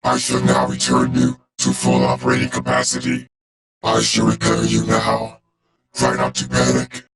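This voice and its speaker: A man voicing a cold, loyal robot warrior for a video game, speaking in a clipped, formal, deadpan way through a robotic filter. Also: medium pitch, moderate pace, gravelly. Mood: clinical, detached. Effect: robotic vocoder filter.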